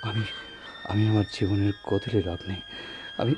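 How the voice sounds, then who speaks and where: An actor playing a dying, embittered but tender doctor in a radio drama, speaking in a halting, poetic, theatrical way. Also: male voice, lowish voice, steady tone, background noise, lightly acted. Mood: despairing. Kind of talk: Bengali radio drama acting.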